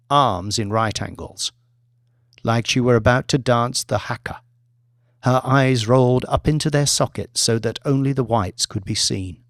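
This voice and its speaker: Guy reading a dramatic novel, measured and articulate, really dry close sound, no echo. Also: medium pitch. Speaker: audiobook narrator. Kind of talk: scripted dramatic fiction narration.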